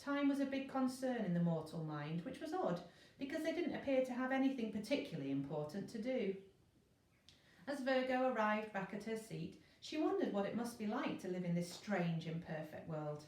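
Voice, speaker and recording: Woman teacher reading kids book aloud, expressive, echoey room, bit far from the mic. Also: a little high, moderate pace. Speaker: teacher reading a class novel. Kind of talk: expressive children's story reading. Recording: roomy echo, distant microphone.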